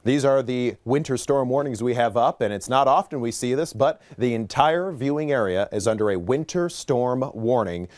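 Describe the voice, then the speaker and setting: A man's voice: medium pitch, moderate pace, few pauses, a little mellow, dry recording. An American man presenting a broadcast weather forecast as a TV meteorologist in a conversational, explanatory way.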